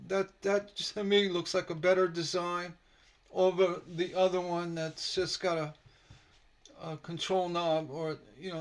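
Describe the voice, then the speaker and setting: Slightly hoarse, high-voiced man teaching. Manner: relaxed and rambling, many fillers. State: slightly hoarse from a sore throat. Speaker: stained glass instructor. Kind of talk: casual live-stream teaching. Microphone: computer mic on a live stream.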